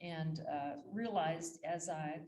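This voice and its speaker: Soft-spoken woman presenting. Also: deep voice, takes it slowly, a little hiss or hum. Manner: soft-spoken, folksy, laughing asides. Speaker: guest speaker. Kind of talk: club presentation.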